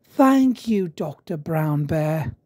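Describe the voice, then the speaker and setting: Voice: mid-range voice, lively intonation, slow pace, dark tone, dry recording, clean studio sound. A narrator voicing a caring, fussing cartoon mother pig in a storybook reading. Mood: gentle.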